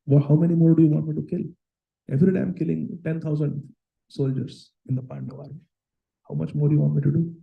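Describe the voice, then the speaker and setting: A man's voice: fairly high pitch, little pitch movement, fast talker, gravelly, narrow, tinny audio. A man voicing a proud old warrior grandfather who speaks firmly and solemnly. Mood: exasperated. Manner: boastful, solemn and firm.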